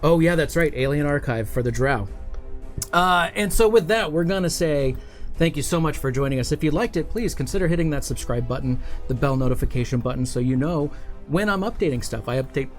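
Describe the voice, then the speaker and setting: Man, fairly high voice, casual stream host, informal, hesitant phrasing, music in the background. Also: animated, fairly quick. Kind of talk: casual hosting chat.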